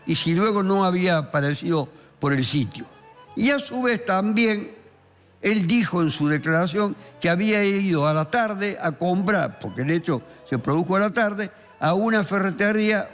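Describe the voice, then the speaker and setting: An Argentine man, a criminal lawyer and columnist, telling a court case in a deliberate, theatrical way with emphatic pauses. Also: high-pitched, a bit bright. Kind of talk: dramatic storytelling of a court case. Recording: long reverberant hall sound, faint music behind.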